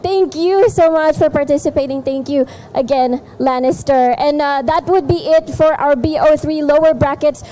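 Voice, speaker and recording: A woman's voice: high voice, brisk, few pauses, warm tone, slight background noise. A woman hosting post-match player interviews at an esports event. She sounds upbeat and stumbles a little over her words. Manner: upbeat, with small verbal stumbles.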